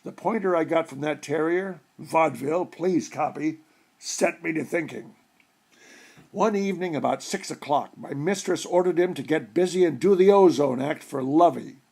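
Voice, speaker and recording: A man reading a humorous short story aloud in an expressive storytelling style. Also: fairly high pitch, moderate pace. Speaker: story reader.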